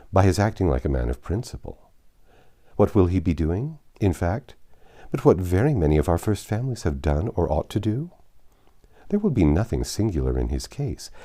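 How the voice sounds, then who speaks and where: A man narrator puts on an earnest, well-bred lady from a classic novel. The delivery is measured and persuasive, with animated intonation. The tone is mellow and husky, decorous throughout. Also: low-pitched, full character voice. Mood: hopeful, persuasive. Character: earnest, principled genteel English lady advising a friend. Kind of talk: storybook character dialogue in a classic novel reading.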